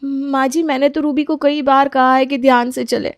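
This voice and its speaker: A woman's voice: high-pitched, level tone, normal speed, no echo, clean studio sound. A woman playing a timid, apologetic young daughter-in-law in a cartoon story, deferential and pleading. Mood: apologetic, hurt. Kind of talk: cartoon moral-story voice acting.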